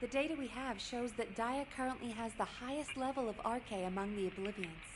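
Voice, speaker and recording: Over noisy game audio comes a fairly high female voice, an actress as a military operations officer. She is formal and earnest, with worry under carefully articulated briefings, in scripted video game style. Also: a little fast, natural voice. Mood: worried. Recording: noisy game capture with ambient sound.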